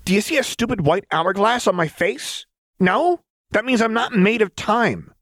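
A brash, twitchy young woman, played by a man in a storybook reading, pitched well above his natural voice. The delivery is cocky and unhinged, full of slang, in a close, dry recording. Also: expressive, normal speed, fairly bright tone, no echo. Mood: brash, impatient. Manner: cocky, slangy, stammering when rattled.

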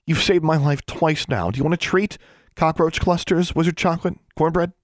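A man voicing a headstrong schoolgirl witch who is quick to argue, in an audiobook. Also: on the high side, dry recording, clean studio sound, pitched above the performer's own voice, close to an everyday voice. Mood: affectionate. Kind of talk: audiobook character voice.

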